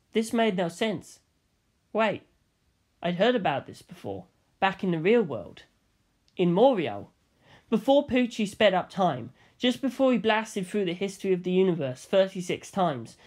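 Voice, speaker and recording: An audiobook reader telling a story in the first person. The voice sits at a middle pitch and moves around a lot, giving the narration an expressive, animated feel.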